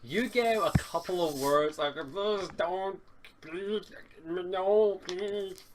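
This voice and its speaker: A man's voice: sits high, slow pace. Scottish man running a tabletop game. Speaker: game master. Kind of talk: improvised tabletop game narration. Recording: faint noise and clicks behind voice.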